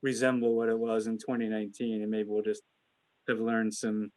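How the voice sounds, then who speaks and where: A man hosting an investment conference call, speaking off the cuff in a halting, hedging, conversational way. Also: on the low side, completely flat delivery, a little mellow. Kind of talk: unscripted conference call remarks.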